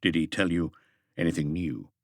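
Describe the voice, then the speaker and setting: A man voicing a senior police chief in an audiobook, asking short, deliberate, probing questions. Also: very deep voice, no echo, clean studio sound, voice pushed down.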